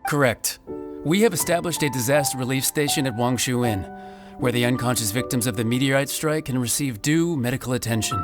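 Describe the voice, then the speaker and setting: A man's voice: medium pitch, a little fast, over music, lightly acted. Man playing formal, dutiful city guard. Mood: formal, reassuring. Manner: formal, official. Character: dutiful, official male city guard soldier. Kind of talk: video game voice acting.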